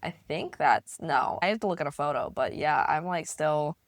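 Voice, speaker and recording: Low-pitched woman in casual chat. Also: lively intonation, normal speed, dry recording, studio quality. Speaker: podcast co-host.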